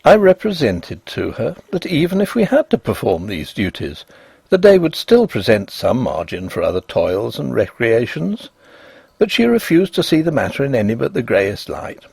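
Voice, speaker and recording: A man narrating a ghost story in an expressive, measured and dramatic scripted reading. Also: mid-range voice, gravelly, slightly rough, no echo.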